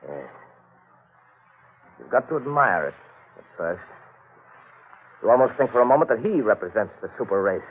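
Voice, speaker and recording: An actor playing a domineering, sardonic but protective husband in a theatrical 1940s radio mystery style. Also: male voice, lowish voice, very animated, moderate pace, pauses often, slight background noise. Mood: brooding, admiring. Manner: theatrical, emphatic delivery. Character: domineering, sardonic husband, turning frantic and protective under danger. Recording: tinny band-limited old radio recording.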